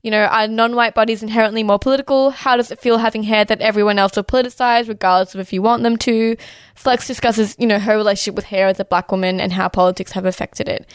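A young woman hosts a podcast in easy, chatty talk, peppering her lines with "like" and "uh". Her voice is a little hoarse from a sore throat, yet smooth and clear, recorded dry and close with no room sound. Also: mid-range voice, fairly even intonation, no room sound. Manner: chatty, fast-flowing, with "like" and "uh" fillers. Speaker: podcast host. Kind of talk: casual podcast chat.

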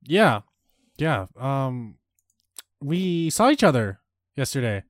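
A man's voice: medium pitch, sing-song, takes it slowly, dry recording, studio quality. A man co-hosting a podcast, chatting in an easygoing way with lots of fillers and upbeat asides. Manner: easygoing, filler-heavy, enthusiastic. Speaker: podcast co-host. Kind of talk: casual chat.

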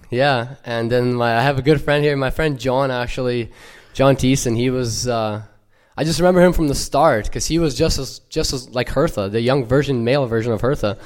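A young man from Ukraine with no accent, giving a halting, earnest personal church testimony full of fillers and restarts. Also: mid-range voice, clear, resonant tone.

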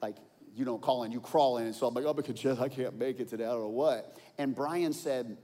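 A pastor in his forties preaching a sermon, telling stories and swinging from quiet asides to loud outbursts. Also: mid-range voice, a little fast. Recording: long hall reverb.

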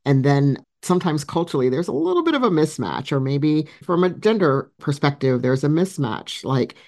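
A soft-spoken woman hosting a casual interview. Her naturally deep voice is kept gentle, and she pauses on fillers as she builds her questions. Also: very animated. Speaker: podcast host.

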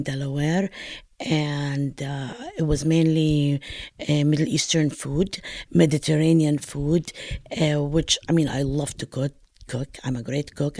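A woman's voice: low-pitched, darkish tone, no echo, studio quality. An older woman from Palestine with a northern Palestinian accent, chatting in an oral history interview as a home cook, telling stories in a digressive, talkative way.

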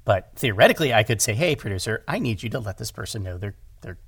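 A man talking casually as an audio drama director, animated and rambling, repeating words for emphasis and joking along. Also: lowish voice, fast talker, creaky, breathy edge, no room sound. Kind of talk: casual conversational discussion.

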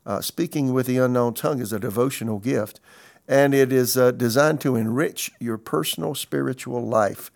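A pastor in his sixties delivers a podcast sermon with a gravelly, mid-pitched voice. He explains scripture in an earnest, conversational way and reads verses aloud between his own remarks. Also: normal speed. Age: 60s.

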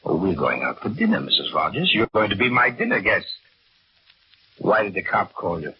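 An actor playing a coolly menacing killer on the run in a vintage radio drama, mock-polite and curt. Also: male voice, lowish voice, sing-song, moderate pace, noisy background. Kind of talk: 1940s-50s radio drama acting. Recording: dull old radio recording, music behind.

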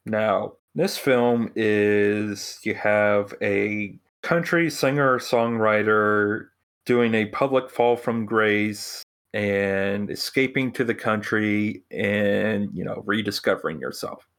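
Slow-spoken, low-voiced man chatting about films. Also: fairly bright tone, clean tone. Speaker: podcast host. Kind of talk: casual film review chat.

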